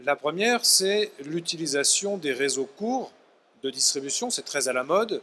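A man's voice: on the high side, expressive, normal speed, sharp tone. A middle-aged man, a professor guest on a trade fair panel, talking articulately and gesturing as he speaks into a handheld mic. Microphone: handheld microphone.

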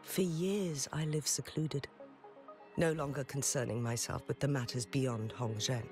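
Dignified game noblewoman, calm authority, very courteous n formal, music under it. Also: rumbling low, unhurried. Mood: grave. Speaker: game character. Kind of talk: scripted game dialogue.

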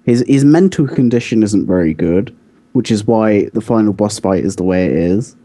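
A man's voice: fairly low pitch, lively intonation, normal speed, warm tone. A man co-hosting a podcast, explaining his points insistently in a casual debate. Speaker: podcast co-host.

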